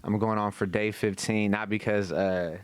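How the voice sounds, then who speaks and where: A man's voice: lowish voice, fairly even intonation, normal speed, slight background noise. A man talking casually as a podcast co-host, with slangy, profane speech and frequent "you know what I mean" tags.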